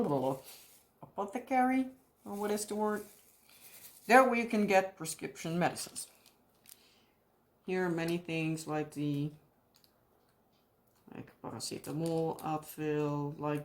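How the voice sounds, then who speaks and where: A young woman with a Dutch accent chatting casually in a rambling way, often searching for English words and laughing. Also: deep voice. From Netherlands. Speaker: craft vlogger. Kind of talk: casual chat while crafting.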